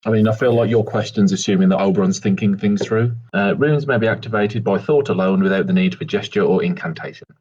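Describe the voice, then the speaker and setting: Low, husky man playing a tabletop game. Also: fast talker, hardly any pauses, darkish tone, muffled recording. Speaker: player in a tabletop roleplay game. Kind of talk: casual game chat, partly in character.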